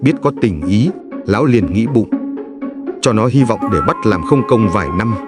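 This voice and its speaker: A man narrates a folk fairy tale in a fairly low voice. He reads as a scripted storyteller for a cartoon, with music clearly playing behind him. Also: animated, normal speed. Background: background music.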